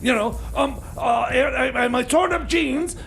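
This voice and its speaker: Man voicing overconfident radio-comedy mechanic, very high voice, crackly fry, scattered stammering delivery, flustered. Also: a little slow, fairly bright tone, heavily acted. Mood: flustered, eager. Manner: stammering, hesitant, scattered, repeating words. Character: flustered, overconfident male time machine mechanic dressing up punk.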